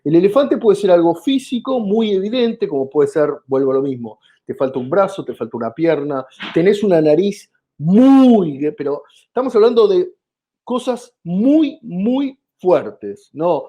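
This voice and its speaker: Argentine guy explaining comedy, lots of ums and restarts, warm low-key tone. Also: sing-song, unhurried. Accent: Argentine Spanish. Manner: hesitant, many fillers and restarts. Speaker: comedian guest. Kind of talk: explanatory interview talk.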